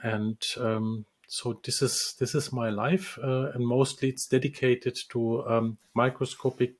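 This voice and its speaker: Guy, dentist being interviewed, talks slow with lots of ums. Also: medium pitch, fairly even intonation. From Czech Republic. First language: Czech. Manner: hesitant, many ums and restarts. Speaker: dentist and lecturer. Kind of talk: interview answers.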